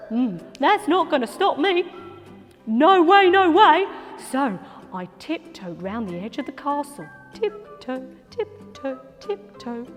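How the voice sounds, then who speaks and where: Woman doing a gasping, excitable young boy. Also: extremely high pitch, slow, in a large hall, faint music behind, pitched above the performer's own voice, exaggerated voice. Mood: excited. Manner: gasping, breathless, sing-song. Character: excitable young boy hero, cheeky, wide-eyed. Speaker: storyteller actor. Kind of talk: theatrical children's storytelling.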